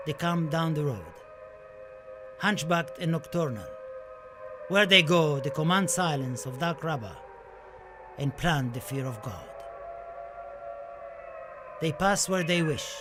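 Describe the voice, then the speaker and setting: A man playing a passionate, proud Spanish poet in a theatrical radio play, declaiming his words and verse dramatically over music. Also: a little high. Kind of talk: theatrical radio-play monologue and poetry recital. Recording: music under the voice.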